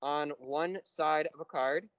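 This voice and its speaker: A man teaching a university math class, explaining step by step and slowing down on key terms. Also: on the high side, dry recording, dull audio. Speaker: math lecturer. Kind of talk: classroom lecture.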